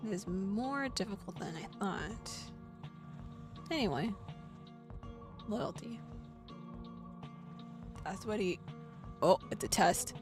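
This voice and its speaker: A young adult woman streaming, narrating a visual novel aloud and breaking into excited, laughing reactions. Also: medium pitch, slow, pauses often, music in the background. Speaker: VTuber streamer.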